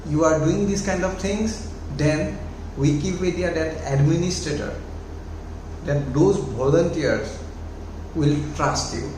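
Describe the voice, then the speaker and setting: A man's voice: a little high, a little mellow. Young Assamese-accented man, very echoey room. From Assam, India. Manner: halting, many restarts and fillers, gestures while talking. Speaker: YouTube host. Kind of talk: casual talking-head advice. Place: small room at home, indoors. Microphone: clip-on lapel mic. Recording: very echoey room with audible noise.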